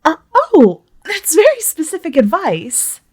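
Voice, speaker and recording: A woman's voice: very high-pitched, wildly swooping pitch, a little slow, darkish tone, heavily acted. A woman playing a polite, easily startled and helpful young woman at an opera house in a tabletop game, hesitant and politely puzzled. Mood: bemused.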